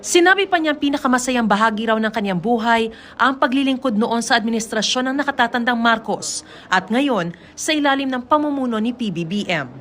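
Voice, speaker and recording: High-voiced Filipino woman reading news. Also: animated, fairly quick, a bit bright. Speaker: news reporter. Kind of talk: scripted news narration.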